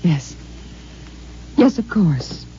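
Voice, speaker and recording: A woman's voice: lowish voice, sing-song, very slow, heavily acted. An American actress playing a determined, emotional woman scientist and fiancée in a 1950s radio drama. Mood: eager, tender. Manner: emotional, insistent. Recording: muffled, noisy 1950s radio recording with hum.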